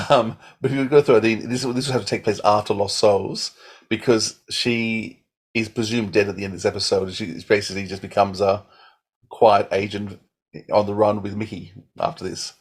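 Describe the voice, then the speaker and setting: A man's voice: on the low side, fairly even intonation, normal speed, husky. A man giving his opinions as a podcast panelist, often repeating words as he starts a thought. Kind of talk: casual opinionated discussion.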